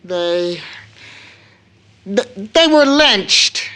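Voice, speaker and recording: Slow, solemn mother. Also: mid-range voice, animated, a bit bright. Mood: anguished, grave. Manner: solemn, deliberate, emphatic. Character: grave, anguished mother baring a long-kept family secret. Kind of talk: stage audio drama acting.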